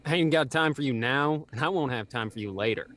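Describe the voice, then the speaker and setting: An actor playing a blunt, impatient working-class gardener in a radio mystery. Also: male voice, mid-range voice, fairly quick, fairly bright tone, close to an everyday voice. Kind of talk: radio drama acting.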